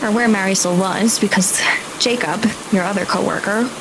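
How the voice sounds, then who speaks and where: A woman's voice: medium pitch, steady tone, normal speed, noisy background. A woman narrating a tabletop horror roleplay as game master, addressing the players directly.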